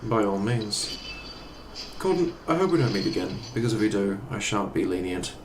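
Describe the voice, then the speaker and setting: A man acting a haughty, menacing Imperial officer in an audio drama, condescending and measured, with noisy ambience around him. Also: on the low side, very animated, roomy sound. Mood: smug, threatening.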